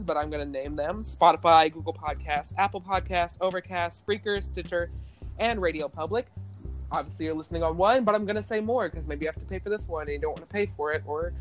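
A man from Kentucky with a light Kentucky accent, hosting a casual podcast in a chatty, rambling way. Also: high-pitched. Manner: chatty, rambling, quick to laugh. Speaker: podcast host.

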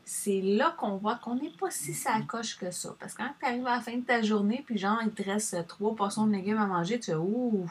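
Chatty husky Québécois woman. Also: mid-range voice. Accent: Québécois French. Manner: chatty, rambling, addresses viewer directly. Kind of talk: casual advice chat.

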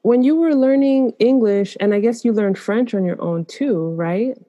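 A woman's voice: a little high, normal speed, mellow, muffled recording. A woman hosting a language podcast, reading a scripted intro and then interviewing a guest in an upbeat way. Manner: upbeat, asks questions, laughs along with the guest. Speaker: podcast host.

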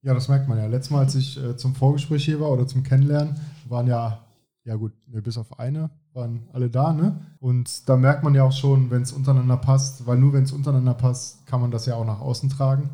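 A man's voice: medium pitch, fairly even intonation, brisk, dark tone. A man hosting a podcast, talking in long rambling sentences with a frequent tag "ne?". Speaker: podcast host. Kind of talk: casual interview chat.

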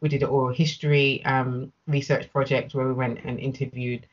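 A woman's voice: very deep voice, flat delivery, normal speed, muffled recording. A British woman speaking as an interview guest in a casual, candid and emphatic conversational way. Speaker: interview guest, business owner.

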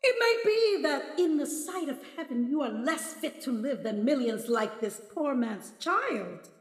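A woman's voice: high-pitched, big pitch swings, unhurried. A woman playing a stern, scornful Christmas spirit in a radio drama, declaiming and taunting. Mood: mocking, scornful. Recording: echoey hall reverb with music behind.

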